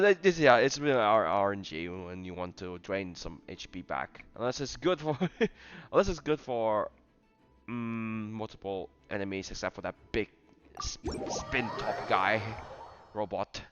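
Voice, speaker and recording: Stammering, animated man commentating on a game. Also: lowish voice, dull audio, soft background music. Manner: halting, stammering, repeats words. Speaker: gaming streamer. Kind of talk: casual live gameplay commentary.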